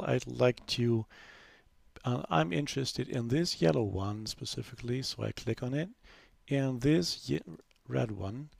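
Man, gravelly voice, dry close sound, casual screen demo narration, halting with fillers. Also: medium pitch, no room sound. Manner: relaxed, unscripted, many fillers and restarts. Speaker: software developer giving a demo.

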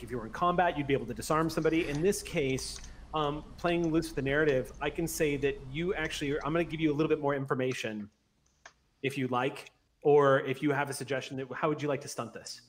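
A man acting as game master, narrating and explaining a tabletop game in an explanatory way. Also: a little high. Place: indoors at home on a video call. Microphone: large desk microphone close by. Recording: clear background noise on video call.

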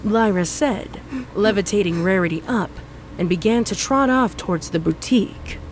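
A woman's voice: mid-range voice, moderate pace, background noise. A woman reading scripted story narration.

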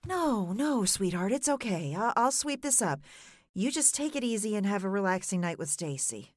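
A woman's voice: medium pitch, big pitch swings, normal speed, no echo, studio quality. A woman playing a fretful, tender middle-aged mother in an audio drama. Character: Catherine, worried, caring middle-aged mother.

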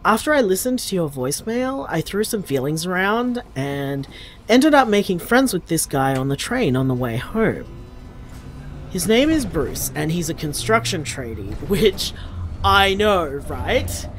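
A man's voice: high voice, sing-song, measured pace, slight background noise, heavily acted. An actor playing an affectionate, upbeat young man leaving casual, earnest voicemails in an intimate audio drama. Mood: upbeat, sheepish.

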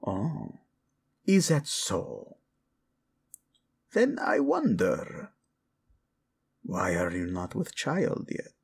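A man playing a cold, scheming middle-aged royal father in an audio drama, slow, insinuating and theatrical, with falsely courteous mockery and a gravelly, husky voice. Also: lowish voice, huge pitch swings, exaggerated voice. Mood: mocking, needling. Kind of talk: dramatic audio roleplay acting.

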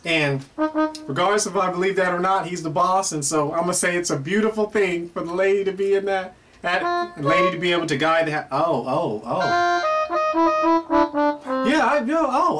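Excitable man hosting over loud guitar music. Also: high-pitched, moderate pace. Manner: playful and excitable, reacting aloud to an unseen guest with many "oh"s and laughs. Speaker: host of a question and answer show. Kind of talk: animated comedic Q&A chat. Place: indoor room before a pale curtain. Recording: distant mic with loud guitar music behind.

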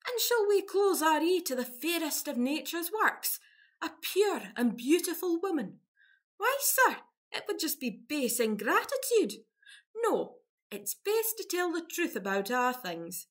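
Woman playing a high-voiced, vain Scottish village lass. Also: very animated, measured pace, full character voice. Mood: self-admiring. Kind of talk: farcical period radio play acting.

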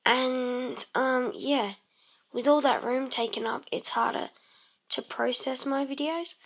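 A woman casually narrating her game session, croaky and clearing her throat, rambling with many pauses and humming to herself. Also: high-pitched. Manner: slow, rambling, many ums, hums tunes while playing. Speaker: let's play host. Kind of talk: casual gameplay commentary.

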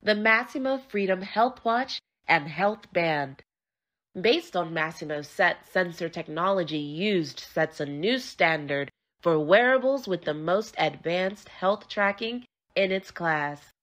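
A woman's voice: fairly low pitch, lively intonation, takes it slowly, a bit bright. A woman voice-over artist reading scripted commercial narration.

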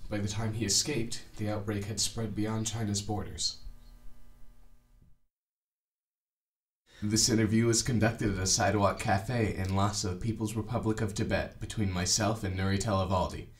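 A man's voice: on the low side, little pitch movement, brisk, few pauses, close to an everyday voice. A man acting as a serious, probing interviewer in an audio drama, narrating and putting questions. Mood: sober. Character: serious, probing male interviewer documenting a catastrophe. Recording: roomy echo, faint music.

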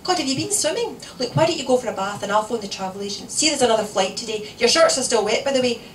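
A woman voicing a frazzled, sharp-tongued and anxious young mother in a story reading, scolding and pressing with questions. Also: sits high, big pitch swings, fast, pitched above the performer's own voice. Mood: agitated, gabbling. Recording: echoey room, distant mic, noisy.

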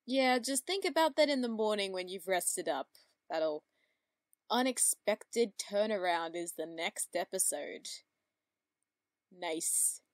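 A woman's voice: medium pitch, animated, slow, no echo, clean studio sound. A woman giving casual reaction commentary as she watches a cartoon. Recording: music playing behind the voice. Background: background music and cartoon audio.

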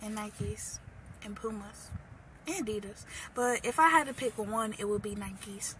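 A nineteen-year-old woman co-hosting a casual vlog from inside a car, playful, chatty and quick to giggle, filmed on a phone held at arm's length. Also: mid-range voice, fairly bright tone, slight background noise, rough recording. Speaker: co-host, girlfriend. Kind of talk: casual chat. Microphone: phone camera at arm's length.

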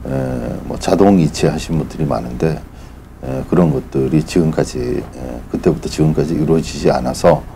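A middle-aged man with an extremely low, gravelly voice addresses his viewers as a channel host. He speaks in a formal, polite but halting manner, feeling his way through an unscripted announcement. A faint layer of noise sits behind the voice. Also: dark tone.

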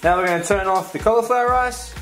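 A man's voice: sits high, fast talker, a bit bright. A young man co-hosting a home cooking video, giving casual step-by-step recipe instructions with playful banter. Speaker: cooking show co-host. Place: home kitchen. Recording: echoey kitchen with background music.

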